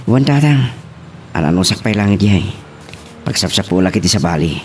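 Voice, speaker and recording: A man's voice: low voice, lively intonation, moderate pace, slight background noise. A man playing a respectful, earnest young graduate son in an audio drama, speaking with a Filipino accent. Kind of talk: Ilocano audio family drama acting.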